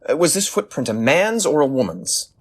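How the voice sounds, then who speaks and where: An actor playing a brisk, sceptical Victorian detective in an audio drama, speaking in a formal, inquisitive way. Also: male voice, a little high, big pitch swings. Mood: probing, sceptical.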